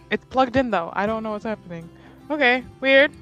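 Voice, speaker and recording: A woman's voice: a little high, animated, normal speed, a bit bright, lo-fi sound, soft background music. A woman playing a tabletop roleplaying game, chatting casually and improvising as she goes.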